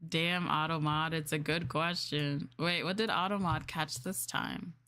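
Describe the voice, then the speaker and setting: A woman's voice: low voice, steady tone, unhurried, sharp tone, smooth. Casual woman streamer thinking aloud. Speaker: VTuber streamer. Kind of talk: casual livestream chat.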